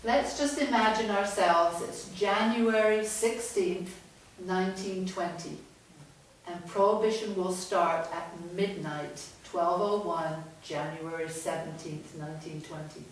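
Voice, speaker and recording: A middle-aged woman with a loud voice gives a chatty, animated talk to an audience as a guest author. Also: fairly low pitch, unhurried. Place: large echoey room from far off. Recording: echoey room, distant mic, faint noise.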